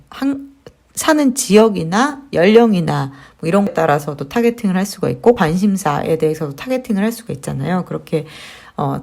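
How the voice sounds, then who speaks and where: A woman's voice: lowish voice, vocal fry, a little mellow. A woman in her thirties giving a friendly, explanatory screen-share tutorial on marketing, with fillers as she talks. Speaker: marketing instructor.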